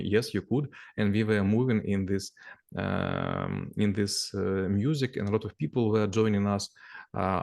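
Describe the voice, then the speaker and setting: A middle-aged man speaks English in a low, gravelly voice. He talks haltingly, often stopping mid-phrase to find the right word and restarting with ums and uhs. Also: a little mellow. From former Soviet Union. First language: Russian. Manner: halting, searching for English words, many fillers and restarts. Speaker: guest answering questions. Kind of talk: casual conversation in a second language.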